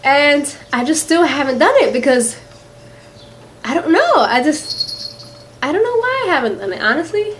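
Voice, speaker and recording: A woman's voice: high voice, big pitch swings, moderate pace, long pauses, a bit bright, slight background noise. A young woman with a Philadelphia accent, chatting casually to camera in a rambling way, with lots of "um" and "like". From Philadelphia, USA. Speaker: beauty vlogger. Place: quiet room in a house.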